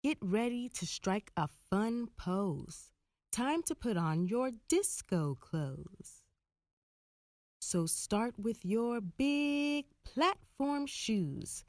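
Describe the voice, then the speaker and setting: Slow, animated woman reading to kids. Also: medium pitch, dry recording, clean studio sound. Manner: slow, deliberate, expressive storytelling. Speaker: picture book narrator. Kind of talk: rhyming children's read-aloud.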